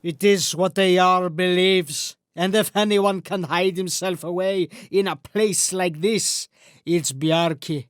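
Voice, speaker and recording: A man playing a grave, weary old Norse warrior in an audiobook, speaking in solemn, measured, formal phrases. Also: sits high, steady tone, clear, resonant tone, no echo, clean studio sound. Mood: solemn, reflective. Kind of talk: audiobook character acting.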